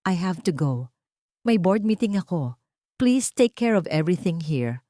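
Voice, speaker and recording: A woman voicing a busy, detached wealthy father with a brisk manner, in an audiobook drama. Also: lowish voice, very animated, mellow, no room sound, clean studio sound. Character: busy, distracted wealthy father, a grown man.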